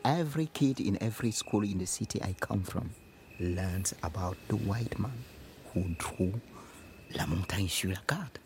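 A man's voice: low-pitched, animated, unhurried, noisy background. A man playing a solemn witness recalling colonial schooling in a radio drama. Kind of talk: slow radio drama monologue.

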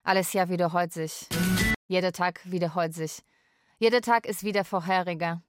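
A woman playing a carer who narrates a daily routine in a radio drama, measured and matter-of-fact. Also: fairly low pitch, steady tone, clean tone, no echo, studio quality, soft background music, lightly acted.

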